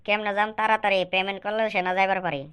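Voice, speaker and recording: Demanding cartoon nephew of school age, voiced by a woman: smooth clean tone, rapid argumentative rhymes. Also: mid-range voice, level tone, fairly bright tone, close to an everyday voice. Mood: pleading, cheeky. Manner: cheeky, argumentative, rhyming comebacks. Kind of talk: rhyming comic cartoon dialogue.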